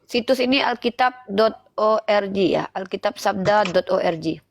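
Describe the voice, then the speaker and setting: A woman's voice: mid-range voice, moderate pace, gravelly, background noise. A woman, speaking didactically in a live online religious debate and putting rhetorical questions to listeners. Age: 30s.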